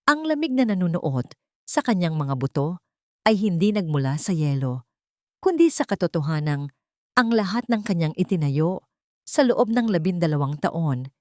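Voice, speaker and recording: A woman's voice: lowish voice, a little mellow, no room sound, studio quality. A woman reading a scripted love story as a narrator, with a solemn, dramatic delivery.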